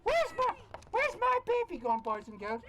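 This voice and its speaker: Bloke doing a fussy puppet wife, really high and swoopy, noisy recording. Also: male voice, wildly swooping pitch, normal speed, heavily acted. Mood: searching.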